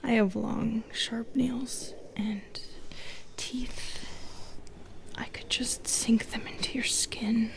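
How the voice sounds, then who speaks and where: A sly female monster, a Medusa, acted by a woman, whispers very slowly in an intimate ASMR roleplay. The voice is fairly high and breathy with some creak, drawing out each taunt as if toying with prey. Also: level tone. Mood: menacing, teasing. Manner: taunting, coaxing, drawn-out delivery. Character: sly, teasing, predatory female Medusa with long nails.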